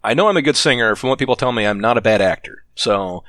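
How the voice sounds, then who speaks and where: A man's voice: medium pitch, expressive, quick pace, fairly bright tone, no echo. A man rambling through anecdotes as a podcast guest, chatty and laughing often. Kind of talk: casual podcast chat.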